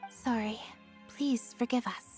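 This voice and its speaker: A woman playing a reserved young magician's assistant in an anime game, terse and deadpan. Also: a little high, slow, soft background music. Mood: sorry. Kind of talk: anime game dialogue acting.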